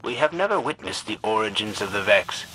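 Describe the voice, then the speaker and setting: A man's voice: on the low side, big pitch swings, a little fast. A man playing an embittered, haughty scientist in a video game, speaking in a pompous, irritable, wordy way. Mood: grave, brooding. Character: embittered, haughty male scientist, half-converted by machines. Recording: gameplay noise underneath.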